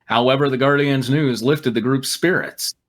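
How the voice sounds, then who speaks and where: A man's voice: medium pitch, steady tone, moderate pace. A man reading fan fiction aloud as host, narrating dramatically with wry, amused asides. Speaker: reader and host.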